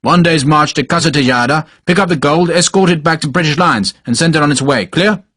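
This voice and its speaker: A man voicing a short, pious older cavalry major who speaks gruffly and brusquely in clipped commands. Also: on the high side, fairly quick, a bit bright, dry recording, clean studio sound, voice pushed up. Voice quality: gruff, brusque, barking laugh. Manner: clipped commands, fervent and impatient. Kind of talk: audiobook character voice.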